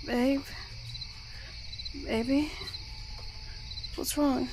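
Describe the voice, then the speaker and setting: Girl doing a doting teasy goddess sorceress for asmr roleplay, slow n cooing, bit of background noise. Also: sits high, fairly even intonation, full character voice. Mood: affectionate, playful, then shocked. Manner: cooing, teasing, affectionate. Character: playful, doting goddess sorceress babying her partner.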